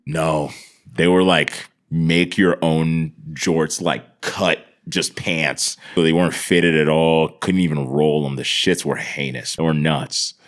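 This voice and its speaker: Deep-voiced man swapping slangy stories. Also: takes it slowly. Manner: profane, slangy, animated exaggeration. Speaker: podcast guest. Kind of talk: casual comedic storytelling.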